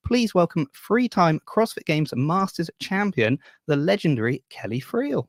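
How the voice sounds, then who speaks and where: A man's voice: fairly high pitch, big pitch swings, unhurried, no room sound, studio quality. A man hosting a casual interview podcast, upbeat and playfully teasing his guests. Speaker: podcast host.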